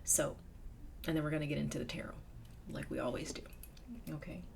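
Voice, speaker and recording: A woman's voice: low voice, fairly even intonation, a little fast, creaky, background noise. A woman giving a casual tarot reading, slightly nasal as she gets over a cold, chatty and sometimes dropping to a whisper. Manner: chatty, drops to a whisper in invocations. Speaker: tarot reader.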